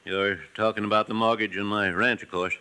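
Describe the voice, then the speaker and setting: An actor playing a worried rancher in a 1950s radio western, subdued and pleading. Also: male voice, fairly low pitch, level tone, brisk, sharp tone, clean tone. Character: worried male rancher facing foreclosure.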